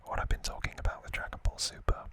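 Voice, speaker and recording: A man hosting an unscripted ASMR unboxing in a breathy whisper, speaking in short, halting phrases with pauses. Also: sharp tone, no echo. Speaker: ASMR video host.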